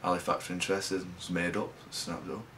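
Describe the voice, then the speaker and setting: A young man from England, a rugby league player giving low-key, halting interview answers. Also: completely flat delivery, fairly bright tone, slight background noise.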